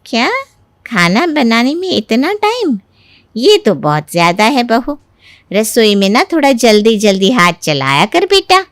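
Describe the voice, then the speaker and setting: A woman voicing a middle-aged visiting aunt, mild and gently chiding, in an animated family moral story. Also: on the high side, measured pace, no room sound, clean studio sound. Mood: surprised, chiding.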